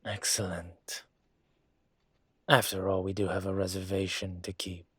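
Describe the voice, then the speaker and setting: A man playing a suave, commanding dragon mafia boss in an intimate roleplay audio, teasing and deliberate, with a husky, breathy voice. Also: low voice, flat delivery, fairly bright tone, no echo, studio quality, exaggerated voice. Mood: charmed, flirtatious.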